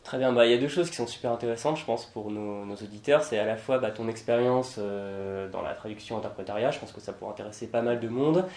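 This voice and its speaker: A man's voice: fairly low pitch, fast, few pauses, a little mellow, roomy sound. A man hosting an interview, asking questions with many fillers and restarts. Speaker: host, interviewer.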